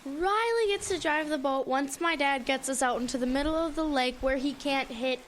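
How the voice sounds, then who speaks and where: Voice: sits high, moderate pace, a bit bright. A young performer playing a cheeky little boy who blurts things out as the kid brother in a radio drama. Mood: candid. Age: child. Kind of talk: youth radio drama acting. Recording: noticeable background noise.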